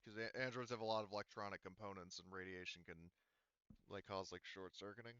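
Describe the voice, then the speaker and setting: Bright, clear-voiced male game master. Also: on the low side, steady tone, brisk, no room sound, muffled recording. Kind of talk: casual narration of a tabletop roleplaying game.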